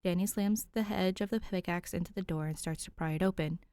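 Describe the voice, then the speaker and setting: A woman's voice: fairly low pitch, brisk, warm tone, smooth, no room sound, studio quality. A woman narrating a scripted action story as an audiobook-style reader. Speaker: podfic narrator.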